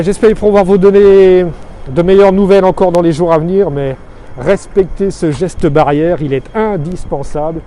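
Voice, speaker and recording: A middle-aged man from Alsace, France, giving an earnest, measured street-side monologue full of figures, recorded outdoors on a city street. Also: on the high side, mellow. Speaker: expatriate vlogger. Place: outdoors on a city street below his office. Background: faint street and traffic noise.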